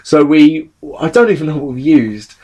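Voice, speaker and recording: A man's voice: fairly high pitch, expressive, moderate pace, a little mellow. A young man hosting a podcast, chatting casually with friends, swearing freely and rambling through a story with lots of ums. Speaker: podcast host.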